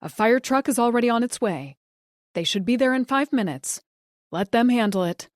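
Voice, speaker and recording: A woman voicing a calm emergency dispatcher who gives steady instructions. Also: a little high, moderate pace, dry recording, studio quality, voice pushed up, close to an everyday voice. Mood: calm, firm.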